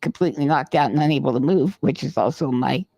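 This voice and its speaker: A man's voice: on the high side, fast, vocal fry, dark tone, no echo. A man co-hosting a podcast, telling a long rambling anecdote in casual chat and swearing freely. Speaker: podcast co-host. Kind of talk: casual storytelling.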